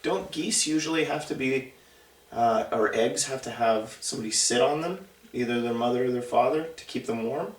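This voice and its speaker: A man's voice: medium pitch, moderate pace. A man reading a picture book aloud to young children, conversationally, with chatty asides and questions to the listeners. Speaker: storybook reader. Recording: roomy echo, distant mic.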